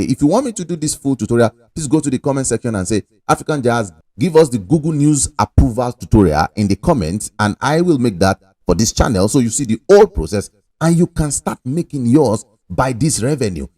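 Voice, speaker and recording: A man's voice: medium pitch, mellow, dry recording. A young adult man giving a casual screen-recording tutorial. He talks straight to the viewer with pushy, salesman-like emphasis. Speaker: online money-making tutorial host.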